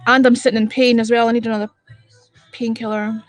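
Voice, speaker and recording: Blunt high-voiced Scottish woman. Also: level tone, a little fast. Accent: Edinburgh Scottish. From Scotland. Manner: blunt, exasperated and sweary. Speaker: livestream host. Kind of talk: casual live-stream chat with viewers.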